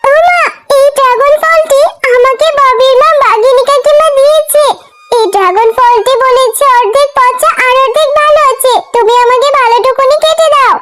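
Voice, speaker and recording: Very high-pitched little cartoon girl. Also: normal speed, a bit bright, slight background noise. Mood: excited, pleading. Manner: pleading, childlike, earnest. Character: Tumpa, sweet poor little fox girl, eager and obedient. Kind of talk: children's cartoon dialogue.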